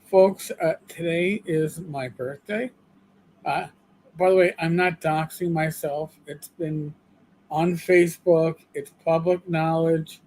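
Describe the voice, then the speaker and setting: A man in his fifties co-hosting a livestream in casual, rambling chat, self-deprecating and prone to halting restarts. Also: a little high, steady tone, a little mellow, slight background noise, poor audio. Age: 50s. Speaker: livestream co-host.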